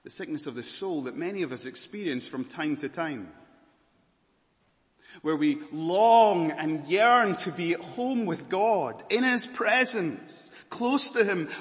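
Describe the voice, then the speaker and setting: A Scottish man preaching a sermon, earnest, moving between emphatic lines and hushed, slower ones. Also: on the high side, in a large hall. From Scotland.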